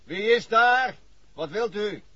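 A man's voice: high-pitched, animated, slow pace, bright, forceful tone, no room sound, full character voice. An actor playing a wary, stubborn man guarding a doctor's door in a 1960s radio drama. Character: wary male gatekeeper protecting a sick doctor, stubborn. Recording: dull, noisy vintage radio recording.

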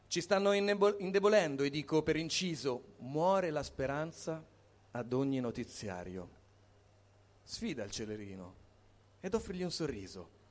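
A man's voice: fairly high pitch, a little mellow. A man reciting a poem with emphasis. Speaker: poetry reader.

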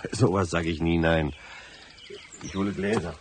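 An actor playing a lonely, hard-drinking cabin caretaker in a German radio drama, blunt and colloquial with a gravelly voice. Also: male voice, low voice, fairly even intonation, normal speed, a little hiss or hum, natural voice. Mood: gruff, sociable. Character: lonely, hard-drinking limping male cabin caretaker, rough and plain-spoken.

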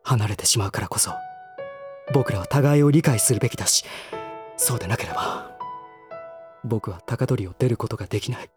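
A man playing a reserved, earnest, refined young man in a Japanese voice drama, with measured, formal phrasing. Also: fairly low pitch, over music, close to an everyday voice.

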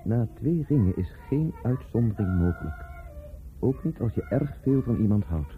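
Actor as solemn father, low male voice, swooping, subdued, muffled narrow sound, music behind. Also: animated, normal speed, lightly acted. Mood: solemn, sorrowful. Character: grave, sorrowful father speaking gently but firmly to child. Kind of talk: Dutch radio drama acting.

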